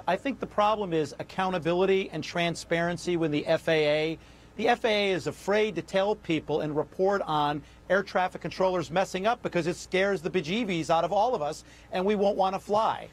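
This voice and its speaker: A man's voice: sits high, fairly quick. An American man speaking as an economist guest, giving analytical, matter-of-fact news commentary.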